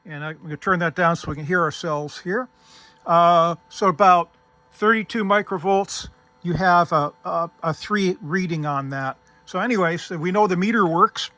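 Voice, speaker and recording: A man presents a casual hands-on demonstration as the host. His voice sits a little high and has a very bright, crisp edge. He explains each step methodically, in an informal way. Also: normal speed. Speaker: host demonstrating vintage radio gear.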